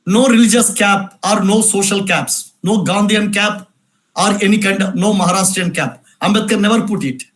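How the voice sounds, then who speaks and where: A man's voice: high voice, moderate pace, a bit bright. A man giving an emphatic lecture, repeating phrases and asking rhetorical questions. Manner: repetitive, insistent, rhetorical questions. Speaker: speaker at a cultural event.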